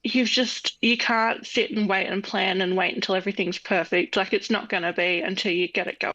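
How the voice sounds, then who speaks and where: A woman's voice: mid-range voice, fairly even intonation, moderate pace, pushed and bright, dry recording. A young woman, a guest on a podcast, chatting casually and laughing often as she tells stories. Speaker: guest artist. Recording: dull, dry sound.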